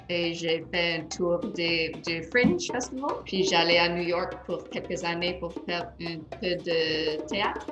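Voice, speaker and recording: Woman, slow and halting, lowish voice, echoey room with music. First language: English. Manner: hesitant, searching for words. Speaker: actor auditioning. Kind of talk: self-introduction at a casting session.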